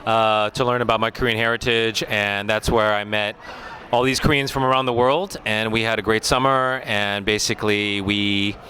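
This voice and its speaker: An American man, a film director, talking through a festival interview in a relaxed, thoughtful way with many pauses and fillers. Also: lowish voice. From United States.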